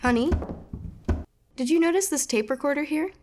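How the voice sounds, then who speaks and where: Actress as happy young wife in a radio play, eager and sweet on her partner, highish voice. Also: normal speed, background noise, natural voice. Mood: eager, affectionate. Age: young adult. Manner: eager, affectionate. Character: cheerful, smitten newlywed woman house-hunting. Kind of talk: audio drama acting.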